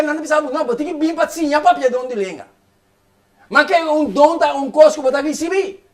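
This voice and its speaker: A man with a notably high voice preaching with fervor. His sermon runs quick and insistent, circling back to the same phrases over and over. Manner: fervent, rapid preaching with repeated phrases.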